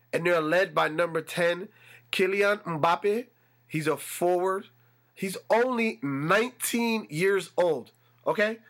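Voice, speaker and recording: A man hosting a solo sports podcast, a little congested and nasal, building excitement as he talks. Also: on the high side. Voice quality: slightly nasal. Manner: animated, builds excitement with repetition. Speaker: podcast host. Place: room with a fan running.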